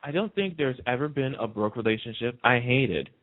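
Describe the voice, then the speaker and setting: A man's voice: medium pitch, animated, normal speed, no room sound. A man chatting casually on a radio panel, opinionated and emphatic, repeating himself to make a point. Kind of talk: casual chat about TV soaps. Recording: muffled lo-fi phone-line radio sound.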